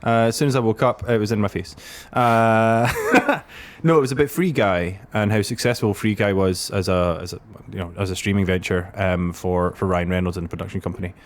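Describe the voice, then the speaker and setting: A man with a slightly low voice speaks as a podcast co-host. His delivery is casual and conversational, as in an unscripted group chat. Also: lively intonation, a little fast. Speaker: podcast co-host, arcade staff. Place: arcade shop, indoors.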